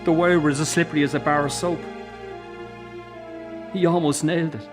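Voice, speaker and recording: A man's voice: fairly high pitch, fairly even intonation, normal speed, music in the background, close to an everyday voice. Earnest Irish circus boss played by a man. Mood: grieving, haunted. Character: Mark Carroll, weary, earnest Irish circus boss, troubled son. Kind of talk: radio drama acting.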